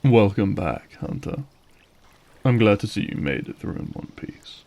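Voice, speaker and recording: A male monster acted by a man with a dark, low voice and heavy fry. He is poised and politely sinister, speaking formally and slowly, as in a fantasy audio roleplay. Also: very animated, exaggerated voice. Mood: sly welcome. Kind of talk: dramatic audio roleplay acting.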